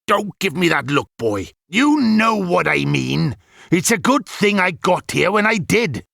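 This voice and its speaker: Grumpy elderly wizard mentor, pompous and exasperated, pitch swinging wildly, as a male narrator puts him on. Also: big pitch swings, a little slow, vocal fry, a bit bright, dry recording, studio quality, exaggerated voice. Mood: stern. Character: cranky fussy old male wizard mentor.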